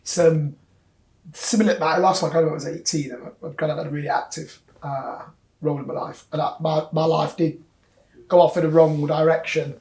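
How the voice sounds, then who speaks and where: A man's voice: fairly high pitch, level tone, normal speed, a little mellow. A man hosting an interview, asking questions in a conversational way and sometimes repeating words as he starts. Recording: roomy echo.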